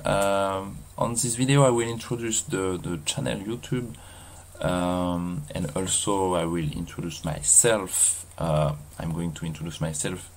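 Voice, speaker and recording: A man with a heavy French accent talks to his camera while walking outdoors. His voice sits very low and he hesitates often, filling pauses and repeating words. A faint hum of outdoor ambience runs underneath. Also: steady tone. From France. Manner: halting, many fillers and restarts. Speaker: vlogger. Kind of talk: casual walking vlog. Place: outdoors in a seaside mountain town.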